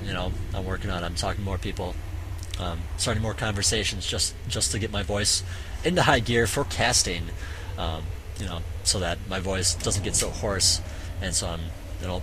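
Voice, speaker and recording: A man casually commentating a strategy game match, halting with many fillers, his voice going hoarse. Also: sits low, background noise. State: voice getting hoarse. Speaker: game caster.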